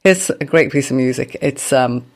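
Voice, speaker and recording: A woman hosting a classical music radio show in casual, chatty style, her voice deep, gravelly and bunged up from a dust allergy. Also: moderate pace. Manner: chatty, self-mocking, rambling.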